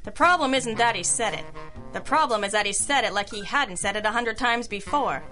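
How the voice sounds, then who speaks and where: Female radio sleuth, hard-boiled, wry, crisp bright tone, slightly high voice, dry narration, music underneath. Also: a little fast. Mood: wry, musing. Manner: dry, wisecracking. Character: wry, self-assured girl detective in a hard-boiled mystery. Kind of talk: old-school radio detective narration.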